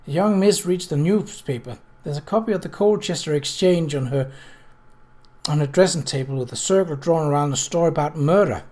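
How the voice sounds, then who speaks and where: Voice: mid-range voice, fairly quick, darkish tone, close to an everyday voice. A reader voicing a timid, fretful young maid in an audiobook, with plaintive servant speech. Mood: eager gossip. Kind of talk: acted audiobook dialogue.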